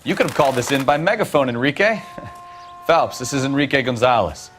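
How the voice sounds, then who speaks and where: An actor playing a worldly, wisecracking 1940s American police detective in a video game, wry and gossipy. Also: male voice, mid-range voice, lively intonation, brisk, a bit bright, soft background music, lightly acted. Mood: wry, amused.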